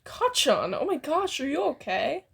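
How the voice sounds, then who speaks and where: A woman voicing an anxious, kindly young man with a flustered, hurried manner in a fan fiction reading. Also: high voice, sing-song, creaky, fairly bright tone, full character voice. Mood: alarmed. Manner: hurried, nervous.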